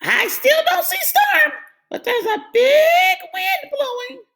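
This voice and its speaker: A woman voicing a fussy, bossy duck in a children's storybook, with a squeaky, quacking voice. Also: animated, slow pace, vocal fry, a bit bright, heavily acted. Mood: excited.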